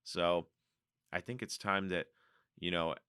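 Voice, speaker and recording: A casual, easygoing man hosts a car podcast, reading listener stories aloud and riffing on them with a laugh. His voice sits low but rings bright and clean. It is recorded close and dry. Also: slow, clear, resonant tone, no echo. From North America. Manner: casual, chatty, laughs often. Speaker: podcast host. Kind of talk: reading listener letters and commenting.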